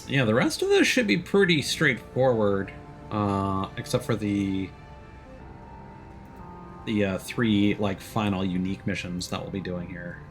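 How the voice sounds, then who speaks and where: Low-voiced man musing over game music. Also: very animated, measured pace. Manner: musing, thinking aloud. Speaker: live streamer playing a game. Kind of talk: casual gameplay commentary. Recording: game music clearly behind. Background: video game music.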